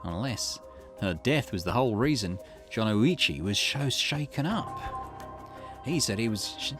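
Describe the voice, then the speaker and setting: A shrewd, easygoing investigator, read by a man in a visual novel. The voice is a little low and very animated, musing and explaining with confidence, over clear background music. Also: measured pace. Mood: pondering, suspicious. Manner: conversational, speculative, explanatory. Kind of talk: reading visual novel dialogue aloud.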